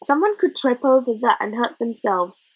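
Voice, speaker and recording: A cheerful little boy who was once a rat, put on by a woman reader, chattering earnestly in rough working-class grammar. The voice is high and leaps about lively, with a husky edge. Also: moderate pace, rough recording. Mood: helpful.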